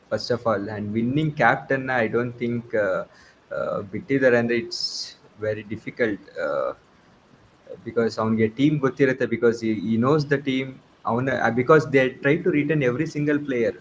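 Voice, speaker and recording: A man's voice: mid-range voice, racing, slight background noise, poor audio. A man with a South Indian accent discussing cricket casually as a panelist, switching between two languages mid-sentence. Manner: mixes Kannada and English mid-sentence.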